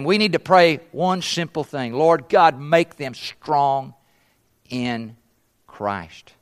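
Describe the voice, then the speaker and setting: Man, missionary preacher raised in East Africa, fairly high voice; emphatic sermon delivery that sinks to a hush. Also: clear, resonant tone. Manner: emphatic, trails off to a hush at phrase ends; asks rhetorical questions. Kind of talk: sermon at a conference.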